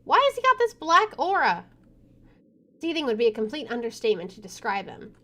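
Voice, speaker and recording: Excitable young woman reading a game. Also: fairly high pitch, brisk. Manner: jumpy, exclaiming, chatty. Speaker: gaming channel host. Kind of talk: reading a visual novel aloud with reactions.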